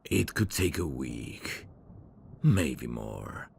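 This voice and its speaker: A man playing a suave, devoted demon servant of hell's royalty, speaking formally and deliberately in an ASMR audio drama. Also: very animated, a little mellow, a little hiss or hum, full character voice. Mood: reassuring. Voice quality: gravelly, very deep. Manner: formal, courtly, slow and deliberate.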